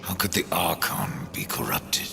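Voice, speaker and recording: A man's voice: extremely low pitch, sing-song, unhurried, gravelly, full character voice. An actor playing Death, a grim horseman of the apocalypse in a video game, with terse, menacing questions. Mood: grim, demanding. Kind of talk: video game cutscene acting.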